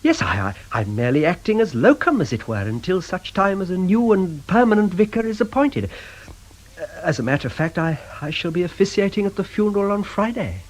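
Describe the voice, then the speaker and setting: An actor playing a mild, apologetic vicar in a radio drama, stammering politely in a husky voice. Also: male voice, high voice, sing-song, brisk, poor audio. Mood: hesitant. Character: flustered, apologetic, mild-mannered male locum vicar.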